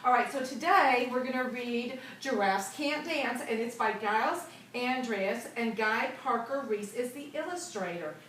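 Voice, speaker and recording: Slow-paced woman reading aloud to children. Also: fairly high pitch, animated, fairly bright tone. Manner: pauses to prompt and echo children's answers. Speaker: teacher reading a picture book to young children. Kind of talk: expressive storybook read-aloud with questions to children. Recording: echoey room, distant mic, noisy background.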